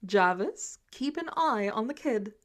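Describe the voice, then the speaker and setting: A commanding middle-aged billionaire inventor, played by a woman for an audiobook. He speaks briskly, with breezy, casual authority. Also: on the high side, expressive. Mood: guarded.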